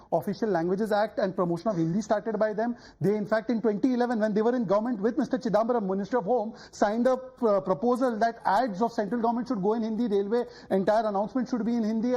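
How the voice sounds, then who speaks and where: A male spokesperson rattles off his rebuttal at speed, point by point. His voice is dark and mellow, and the audio sounds dull and muffled. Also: high-pitched. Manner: combative, lists points and quotes. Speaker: party spokesperson. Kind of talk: rapid-fire debate rebuttal.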